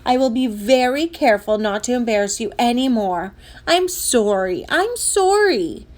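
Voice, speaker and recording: A woman's voice: fairly high pitch, lively intonation, normal speed, a little mellow, lightly acted. A woman voicing a well-meaning, oblivious mother in a children's story, with a singsong, placating manner. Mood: apologetic.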